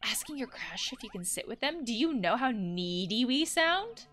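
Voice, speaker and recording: A woman's voice: on the high side, very animated, moderate pace, fairly bright tone. A woman voicing an anxious, needy guard wolf from a visual novel game, dramatic and pleading, prone to alarm. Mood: worried, suspicious.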